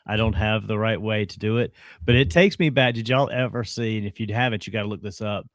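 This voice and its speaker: A man's voice: on the low side, fairly quick, no echo. A man with a South Carolina accent, co-hosting a casual sports chat and telling stories with emphatic energy. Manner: animated storyteller, emphatic. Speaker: co-host.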